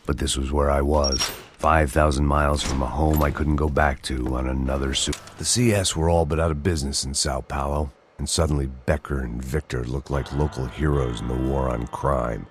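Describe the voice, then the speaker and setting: Very deep, jaded American noir narrator. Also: male voice, fairly even intonation, normal speed, hardly any pauses, a little hiss or hum. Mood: weary, sardonic. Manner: world-weary, sardonic, dry. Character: jaded, self-loathing middle-aged American ex-cop narrator. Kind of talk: hard-boiled noir voice-over narration. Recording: loud music under the voice. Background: music.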